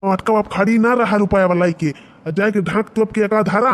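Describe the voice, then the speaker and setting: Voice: medium pitch, moderate pace, close to an everyday voice. A rustic village husband from a cartoon comedy played by a voice actor, speaking with an Awadhi accent. He is plain-spoken and bossy, giving orders in a nagging way. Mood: wary, bossy.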